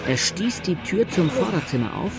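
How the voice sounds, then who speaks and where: A man's voice: high voice, big pitch swings, normal speed, over loud music. A man acting as a grave, dramatic narrator of a battle in a German radio drama. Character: grave male chronicler of an outlaw's last battle. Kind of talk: scripted third-person radio drama narration.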